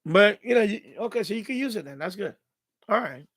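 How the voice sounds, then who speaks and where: A male live-stream host with a noticeably high voice that often breaks into creak. He talks informally and without much filter, rambling as he answers his chat. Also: animated, fairly quick, fairly bright tone. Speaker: livestream host. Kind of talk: casual live-stream chat, reading and answering viewer comments.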